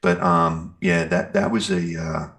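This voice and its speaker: A man speaking as a musician guest in a casual interview, telling stories in a rambling, halting way. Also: low-pitched, completely flat delivery, a bit bright. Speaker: guest, metal band singer and guitarist. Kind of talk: casual interview chat, recounting festival gigs.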